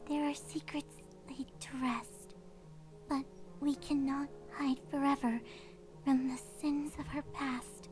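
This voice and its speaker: A woman's voice: sits high, a little mellow. A woman playing an ominous, portentous voice of a bloody warning in a horror audio drama. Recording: faint music behind.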